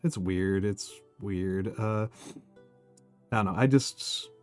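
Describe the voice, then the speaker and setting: An American man chatting casually on a livestream, talking in halting bursts full of fillers and restarts. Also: lowish voice, darkish tone, smooth, no echo, soft background music. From United States. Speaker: streamer. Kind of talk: casual chat.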